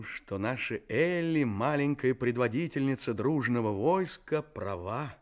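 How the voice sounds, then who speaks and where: Male storyteller, lively swoops, unhurried pace, confiding, children's radio drama narration. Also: medium pitch. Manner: confiding, addressing young listeners.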